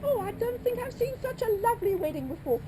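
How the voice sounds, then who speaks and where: A woman's voice: extremely high pitch, sing-song, quick pace, warm tone, slight background noise, rough recording. A woman playing a gushing teary wedding guest.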